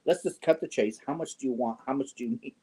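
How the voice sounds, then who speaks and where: A man in his fifties chatting casually with viewers on a yarn livestream, joking and often clearing his throat. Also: mid-range voice, quick pace, warm tone. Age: 50s. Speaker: yarn channel host. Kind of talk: casual livestream chat answering viewer comments.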